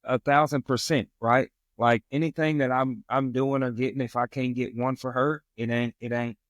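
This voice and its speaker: A man's voice: mid-range voice, steady tone, normal speed, no room sound. Emphatic male podcast guest, dry sound. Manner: emphatic, preachy, repeats catchphrases. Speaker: guest, real estate investor. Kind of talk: casual podcast conversation.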